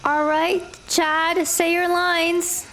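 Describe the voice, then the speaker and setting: A woman voicing an impatient female play director who gives firm, slow instructions. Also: extremely high pitch, little pitch movement, fairly bright tone, slight background noise, exaggerated voice. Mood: directing.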